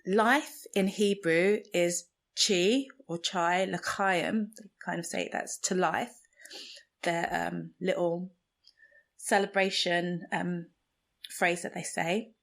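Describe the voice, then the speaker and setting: A woman from Nigeria whose first language is Igbo, hosting a solo podcast and thinking aloud slowly and carefully. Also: fairly low pitch, steady tone, gravelly. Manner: slow, thoughtful, searching for words. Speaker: podcast host. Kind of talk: reflective solo podcast monologue.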